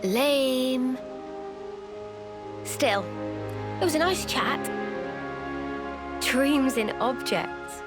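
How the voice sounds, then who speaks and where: A woman playing a caring, plain-spoken young comrade in a video game, casual and gently teasing. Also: high voice, very slow, long pauses, over loud music. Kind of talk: video game cutscene acting.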